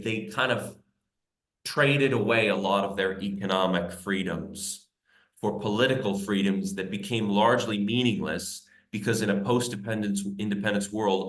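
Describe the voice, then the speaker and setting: Deep-voiced man in an echoey room. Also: fairly bright tone. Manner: loose, conversational, heavy use of "like". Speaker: author guest. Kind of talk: casual interview chat. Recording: roomy echo.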